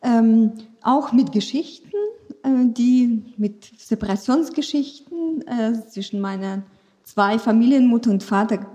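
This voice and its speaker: A woman's voice: a little high, unhurried, warm tone. A woman from western Ukraine, a native Ukrainian speaker with a Ukrainian accent, speaking from personal experience as a panel guest. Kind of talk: panel discussion, personal recollection.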